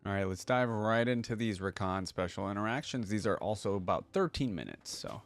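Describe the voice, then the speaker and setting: A man's voice: fairly low pitch, lively intonation, brisk. A man hosting a reaction video, giving casual, exclamatory commentary and sounding easily impressed.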